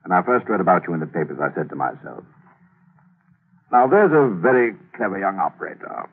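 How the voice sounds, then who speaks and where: Deep husky smug hitman, tinny old radio drama. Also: expressive, full character voice. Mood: smug menace. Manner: smug, teasing, slow menace. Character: sly menacing male killer, peanut-cracking, mocking. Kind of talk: 1940s radio acting.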